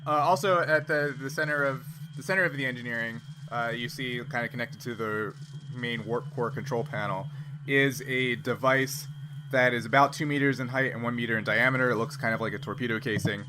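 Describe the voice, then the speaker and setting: A man's voice: medium pitch, fairly even intonation, brisk. A man narrating and refereeing a tabletop role-playing game as game master. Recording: noisy group recording with room ambience.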